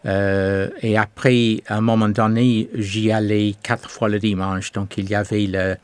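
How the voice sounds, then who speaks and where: A man in his sixties with an English accent, a pastor giving his testimony in a slow, hesitant way with many pauses. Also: lowish voice, little pitch movement, clear, resonant tone, no echo. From England. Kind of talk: testimony interview.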